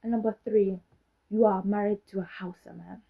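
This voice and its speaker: A woman's voice: mid-range voice, steady tone, moderate pace, creaky, highs cut off. A young British woman in her twenties, talking casually to camera in a sassy, sarcastic way, full of rhetorical questions. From Britain. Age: young adult. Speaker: vlogger.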